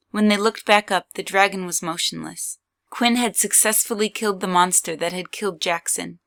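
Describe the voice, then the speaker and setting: A woman's voice: medium pitch, pushed and bright. A woman reading scripted third-person story narration as the narrator of an audio drama.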